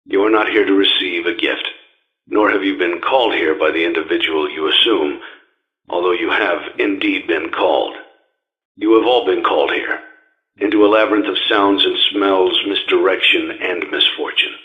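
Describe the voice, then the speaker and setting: An actor playing a solemn, grieving middle-aged inventor in a video game, speaking in a measured, formal, grave way. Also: male voice, low voice, slightly rough. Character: weary, grieving older father, solemn and menacing, guilt-ridden inventor. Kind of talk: dramatic scripted monologue and taped instructions. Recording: dull lo-fi radio-like tape sound.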